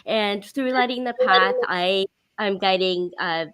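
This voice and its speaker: A woman's voice: mid-range voice, a little slow, fairly bright tone, smooth, no echo. A woman from Canada introducing her coaching work on a panel, earnest and inspirational.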